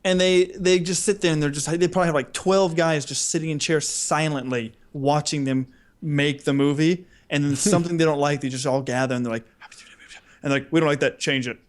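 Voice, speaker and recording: Higher-voiced man bantering on a podcast. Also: fairly even intonation, brisk. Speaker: podcast co-host. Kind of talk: casual banter.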